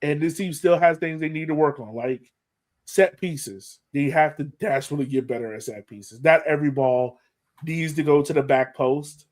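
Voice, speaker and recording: A man with an American accent chatting casually as a sports podcast co-host, animated and self-deprecating, often thinking aloud. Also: fairly high pitch, moderate pace.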